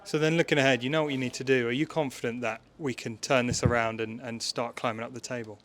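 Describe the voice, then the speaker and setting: A man's voice: medium pitch, steady tone, quick pace, fairly bright tone. A man interviewing a football manager after a match, asking direct, conversational questions. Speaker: club interviewer. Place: football stadium.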